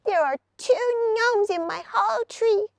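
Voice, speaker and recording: A woman's voice: very high voice, unhurried, vocal fry, dry recording, studio quality, pitched above the performer's own voice, full character voice. A woman voicing a sad, fretful little lizard in a storybook, plaintive and complaining as it begs for help. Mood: miserable, pleading.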